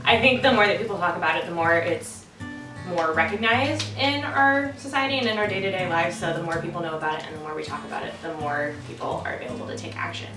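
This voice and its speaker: Twenty-one-year-old woman, lowish, reflective and soft, far roomy mic, guitar music bed. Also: fast talker. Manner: reflective, trailing off softly. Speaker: student speaker. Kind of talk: earnest awareness talk.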